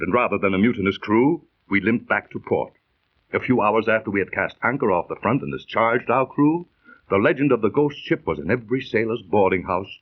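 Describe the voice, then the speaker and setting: Excitable landsman adventurer, 1930s radio drama, animated pitch, slightly rough voice, dulled vintage sound. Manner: dramatic, storytelling delivery. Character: excitable landsman adventurer, haunted by a ghost ship. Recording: muffled, husky-sounding old 1930s radio recording.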